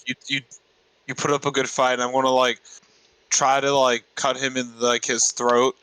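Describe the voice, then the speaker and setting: Rambling man with a bright, dry voice. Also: medium pitch, level tone, normal speed, smooth, no room sound, low-quality recording. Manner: rambling, slangy. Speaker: player in a tabletop game. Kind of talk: casual chat, describing game actions.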